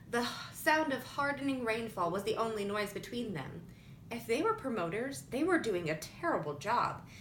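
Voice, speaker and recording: A woman reading scripted fiction aloud as an audiobook narrator. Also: sharp tone. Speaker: audiobook reader. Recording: roomy echo with faint rumbling noise.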